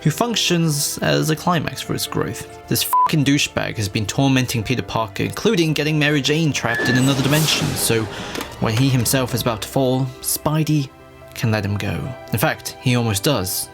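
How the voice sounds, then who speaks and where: Creaky-voiced man narrating a video essay. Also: mid-range voice, normal speed. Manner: irreverent, casually profane. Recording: faint music under narration. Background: soft background music.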